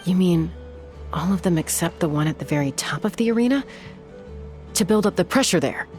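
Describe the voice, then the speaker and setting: An actress plays a confident young flyer in a video game. Her voice is low for a woman, measured and self-assured, with music playing underneath. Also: animated, a little mellow, natural voice. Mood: serious, focused.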